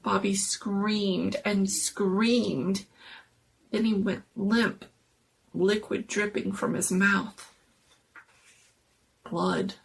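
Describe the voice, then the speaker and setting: A woman's voice: mid-range voice, fairly even intonation, very slow, vocal fry, long pauses, slight background noise. A woman giving a dramatic, suspenseful scripted narration of a scary story.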